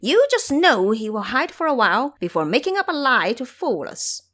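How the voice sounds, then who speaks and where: Scheming male monkey disciple, played by a woman, cocky and commanding, very lively pitch, audio drama. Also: moderate pace. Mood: sly, animated.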